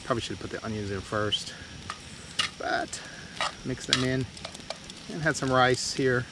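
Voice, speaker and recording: A man talking casually and haltingly as he hosts an outdoor camping vlog, with a laid-back, folksy manner. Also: mid-range voice. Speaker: vlog host. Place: outdoors at a state park and campsite. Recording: noisy outdoor recording with insects.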